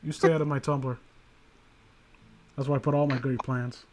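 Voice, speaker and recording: A man's voice: mid-range voice, flat delivery, moderate pace, darkish tone, a little hiss or hum. A man from New York City hosting a stream, reading a story aloud with sarcastic, joking, foul-mouthed banter.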